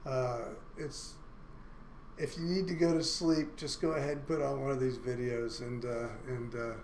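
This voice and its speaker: A male university instructor going through a course syllabus in a recorded online lecture, talking in a monotone with many halting fillers and restarts. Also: mid-range voice, slight background noise. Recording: roomy echo, faint room ambience.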